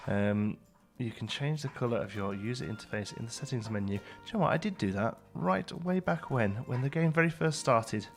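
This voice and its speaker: A man's voice: medium pitch, fairly bright tone. A British man hosting a let's play, chatting casually and wryly as he thinks aloud. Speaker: gaming video host.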